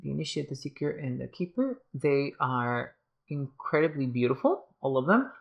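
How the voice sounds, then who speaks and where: A woman's voice: rumbling low, very animated, normal speed, gravelly, muffled recording. A woman giving a casual video review, talking hesitantly with many fillers and restarts as she loses her voice. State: losing her voice. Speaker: tarot deck reviewer.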